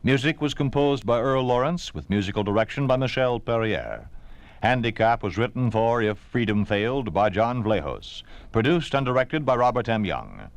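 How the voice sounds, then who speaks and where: A man's voice: medium pitch, very animated, moderate pace, no echo. A man with an American accent announcing in a solemn, formal, rhetorical 1950s radio narration style. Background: music.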